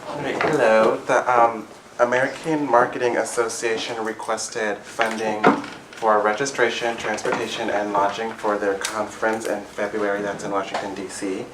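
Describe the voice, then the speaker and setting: A young man with a fairly low, flat voice lays out a funding report, pausing on ums. The room around him is echoey, with a faint hiss. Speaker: student senator presenting funding.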